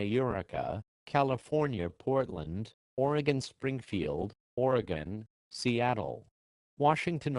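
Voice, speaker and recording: Lowish-voiced man reading an emergency alert. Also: darkish tone, dry recording. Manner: formal, measured. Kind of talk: scripted emergency broadcast announcement.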